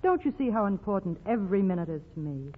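A woman's voice: mid-range voice, sing-song, fast talker, slightly rough, big-room sound. An actress playing a brave, romantic young woman with a secret doom, in 1940s radio drama style. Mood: brave, urgent. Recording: old phone-like radio recording with music.

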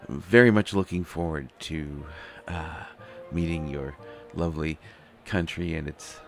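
A man's voice: very deep voice, unhurried, creaky, heavily acted. A man playing an anxious, stammering young prince who is reluctant to become king, in a roleplay audio drama. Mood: flustered politeness. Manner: flustered, hesitant, stammering, sighing. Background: faint music.

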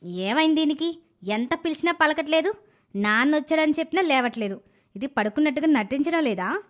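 Casting: woman as a scheming little stepsister for a cartoon moral tale, very high voice, whiny and dramatic. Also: lively intonation, a little fast. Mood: worried. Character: spiteful young village girl, scheming stepsister. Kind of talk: animated moral story dialogue.

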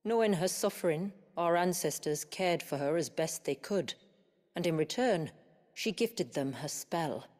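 An actress playing a grave village woman who recounts her people's tragic past in a measured, formal, earnest way for a video game. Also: lowish voice, animated, a little mellow. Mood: grave, reverent. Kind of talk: solemn scripted storytelling in video game drama.

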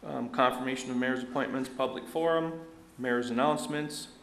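Guy walking through an online agenda at a council meeting, flat delivery, echoey room. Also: mid-range voice, normal speed, faint music behind. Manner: plain, step-by-step walkthrough with "you know" asides.

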